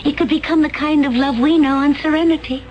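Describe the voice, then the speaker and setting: An actress playing a calm alien woman from another planet in a 1970s radio drama, speaking in a flat, measured, otherworldly way. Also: high voice, soft background music, natural voice. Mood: serene.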